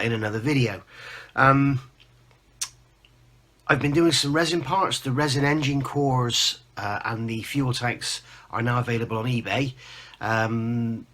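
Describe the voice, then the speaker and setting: A man with a croaky voice, recovering from losing it, chatting informally as the host of a scale modelling vlog. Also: lowish voice, pushed and bright. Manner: chatty, informal, rambling show-and-tell. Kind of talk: casual hobby update to camera.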